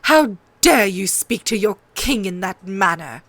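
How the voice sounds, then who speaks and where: A woman's voice: mid-range voice, animated, unhurried, fairly bright tone, no room sound. A woman playing a haughty, accusing queen and protective mother in an audiobook.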